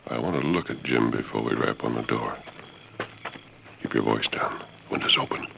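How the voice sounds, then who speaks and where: An actor playing a steady, commanding western hero in a 1940s radio drama, measured and earnest, with an American accent. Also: male voice, rumbling low, vocal fry, slight background noise, full character voice. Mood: watchful, quiet. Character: steady, authoritative male western hero, masked lawman. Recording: muffled vintage radio recording.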